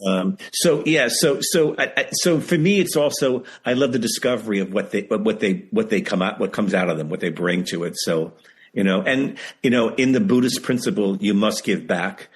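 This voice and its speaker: A man of seventy speaks as a guest in a candid interview. His voice sits fairly low and has a husky grain. He is emphatic, often repeating a phrase to stress it. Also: normal speed. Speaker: guest, author and writing teacher. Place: video call.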